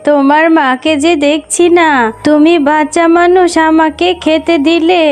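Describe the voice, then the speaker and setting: A woman voicing a gentle, weary old beggar vixen granny in a children's cartoon, speaking tenderly and plaintively. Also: high voice, little pitch movement, unhurried. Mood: kind, grateful. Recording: music behind the voice.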